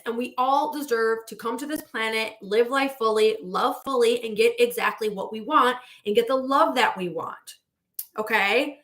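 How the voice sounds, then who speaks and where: Woman, manifestation coach, casual livestream chat, effusive, bright tone, somewhat high pitch. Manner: effusive, repeating "okay?" and reading out viewer comments.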